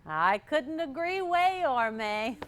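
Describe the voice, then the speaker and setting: Woman acting teasing cheery sister in a stage comedy, high bright voice, no rush. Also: sing-song, takes it slowly, slight background noise, exaggerated voice. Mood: cheerful, teasing.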